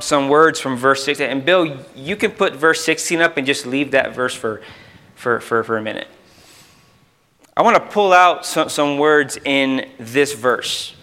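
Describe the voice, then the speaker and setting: A male youth pastor delivers an informal sermon in a fairly high, bright voice. He leans on repeated phrases for emphasis and breaks off into chatty asides to the people listening. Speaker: youth pastor preaching to a congregation. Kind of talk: informal sermon with scripture quotation and exposition.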